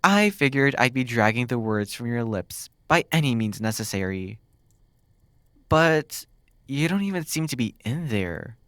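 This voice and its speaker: A man voices a smug demon captor in an ASMR roleplay. He is flirty and teasing, musing with pauses at a middling pitch. The sound is dry and close to the mic. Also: expressive, fairly bright tone, no echo. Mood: musing, probing.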